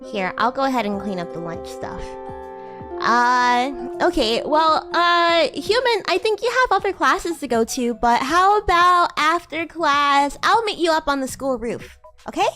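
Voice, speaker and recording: Woman playing a high-pitched bratty demon schoolgirl. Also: expressive, measured pace, bright, forceful tone, faint music behind, full character voice. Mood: shy, bossy. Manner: teasing, coy, defensive, stammering when flustered. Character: bratty, cute, flustered young female demon classmate. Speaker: VTuber streamer. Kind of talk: improvised VTuber roleplay, casual chat with viewers.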